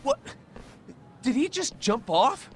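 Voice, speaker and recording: A man's voice: extremely high pitch, huge pitch swings, takes it slowly, a bit bright, faint music behind, full character voice. An actor playing a brash young male delinquent henchman in an English game dub, slangy and taunting. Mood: baffled, uneasy. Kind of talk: English video game dub cutscene acting.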